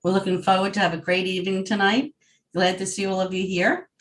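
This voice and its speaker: A woman's voice: medium pitch, level tone, a bit bright. Friendly New woman co-host. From New York, USA. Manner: friendly, conversational, slightly halting. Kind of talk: hosting an online discussion. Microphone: video call.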